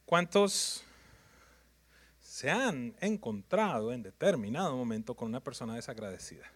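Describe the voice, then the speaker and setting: A man's voice: mid-range voice, sing-song, moderate pace, fairly bright tone. A man preaching a sermon in numbered points, emphatic and repetitive, often putting questions to his congregation.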